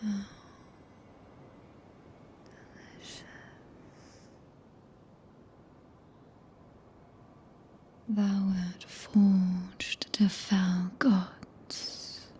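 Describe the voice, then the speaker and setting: A very slow, hushed British witch of moonlight, played by a woman, whispers close to the ear in an ASMR roleplay. Her delivery is soft, mellow and level, regal and possessive yet tender, with archaic phrasing. A faint rumble sits under the voice. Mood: entranced, possessive. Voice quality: breathy whisper. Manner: slow, soothing, commanding, archaic. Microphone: close binaural ASMR microphone.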